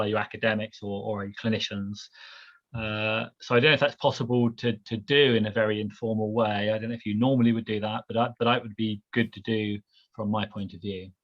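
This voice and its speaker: A man leading an informal academic discussion on a video call, halting and full of fillers and restarts. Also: fairly low pitch, dull audio. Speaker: discussion leader, head of department. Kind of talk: hesitant informal academic chat.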